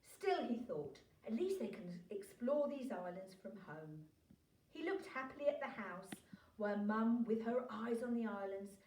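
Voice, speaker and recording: A woman reading a children's story aloud in an expressive, animated narrating style. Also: medium pitch. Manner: animated, acts out dialogue. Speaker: storybook reader for a class. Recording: roomy echo, distant from microphone.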